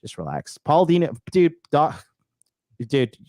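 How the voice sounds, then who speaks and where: A man's voice: fairly high pitch, huge pitch swings, unhurried, darkish tone, no room sound, clean studio sound. A man in his thirties hosting a casual livestream chat, talking brashly and profanely, rambling with lots of fillers and restarts. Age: 30s. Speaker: podcast host.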